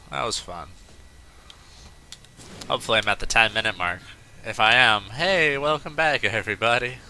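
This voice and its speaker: A man's voice: fairly low pitch, pushed and bright, a little hiss or hum. A man hosting a let's play, chatting casually and rambling into off-topic asides while he plays. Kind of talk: casual gameplay commentary.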